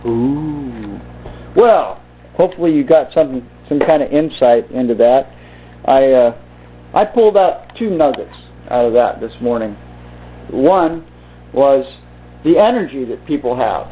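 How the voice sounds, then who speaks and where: A man reading aloud and commenting informally in a halting, deliberate way. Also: mid-range voice, lively intonation. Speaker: video host reading from a book. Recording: muffled phone-like narrow-band sound.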